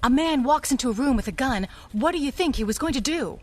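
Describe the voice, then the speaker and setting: An actress playing a tough, wry, vengeful adventuress in an audio drama, sardonic and commanding. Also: female voice, sits high, lively intonation, fast talker, a little mellow. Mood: angry, threatening.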